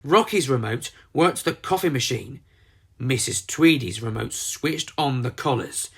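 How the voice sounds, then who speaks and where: Storybook narrator, theatrical and emphatic, very lively pitch, unhurried delivery. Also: vocal fry, breathy edge. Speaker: storyteller narrating a children's book. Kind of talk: dramatised storybook narration with character voices.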